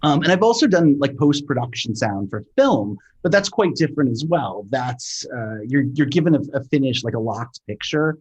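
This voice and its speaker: A man in casual conversation, explaining his work in a halting way, thinking aloud and repeating words as he goes. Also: mid-range voice, animated, darkish tone, breathy edge, low-quality recording. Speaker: sound designer, interview guest.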